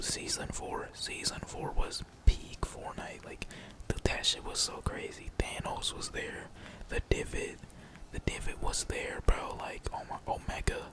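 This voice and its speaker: A young man whispers casual gameplay commentary in a breathy, unvoiced voice, rambling along in slangy, profane language. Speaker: gaming streamer.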